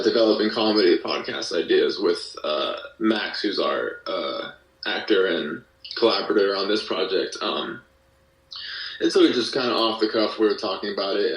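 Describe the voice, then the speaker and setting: A man being interviewed as a podcast guest, chatting casually in a hesitant, halting way. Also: on the low side. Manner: hesitant, halting delivery with frequent hedging. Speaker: podcast guest, musician and creator of an audio drama. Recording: roomy echo, distant from the mic.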